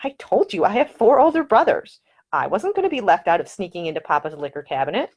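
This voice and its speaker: A woman voicing a spirited, independent young woman perfumer, talking in a brisk, earnest and self-assured way in audiobook dialogue. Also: medium pitch, sing-song, voice pushed up. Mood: teasing.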